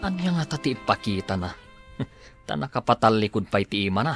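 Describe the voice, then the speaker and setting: A man's voice: on the low side, big pitch swings, a little fast, over music. An actor playing an agitated male villain in a radio drama, exclaiming and protesting with an Ilocano accent. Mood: alarmed.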